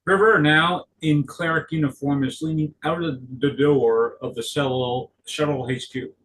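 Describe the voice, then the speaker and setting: A man narrating stage directions at a script table read, halting and correcting himself as he goes. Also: fairly high pitch, steady tone, a bit bright, low-quality recording. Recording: clear background noise.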